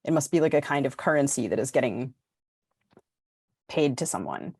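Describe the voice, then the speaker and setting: A woman hosting a podcast, talking casually about books in a halting way with lots of filler words. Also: very deep voice, animated, a little mellow, breathy edge, no echo, clean studio sound. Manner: halting, filler-heavy, frequent "like". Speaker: podcast host. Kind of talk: casual literary discussion.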